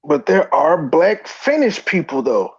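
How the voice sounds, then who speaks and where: A man with a somewhat high-pitched voice talks through a casual debate. His delivery is informal and plain-spoken, and the recording is a little dull and lo-fi. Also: big pitch swings, measured pace, husky. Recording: slightly dull, lo-fi audio.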